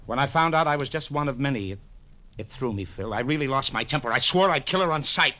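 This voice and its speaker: A man playing a nervous, pleading accountant, earnest and halting, in 1940s radio drama style. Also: mid-range voice, animated, pushed and bright. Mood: agitated. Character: anxious, pleading male accountant in serious trouble.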